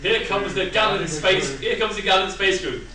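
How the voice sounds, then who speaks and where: A man's voice: sits high, moderate pace. A man in the audience casually calling out suggestions. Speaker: audience member. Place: echoey convention room. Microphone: far from the mic. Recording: echoey hall, far off, loud crowd.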